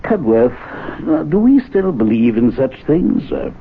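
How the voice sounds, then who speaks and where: An actor playing a timid, anxious gentleman of a men's club who talks hesitantly, full of uhs. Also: mid-range voice, sing-song, measured pace, husky, exaggerated voice. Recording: tinny 1970s radio broadcast.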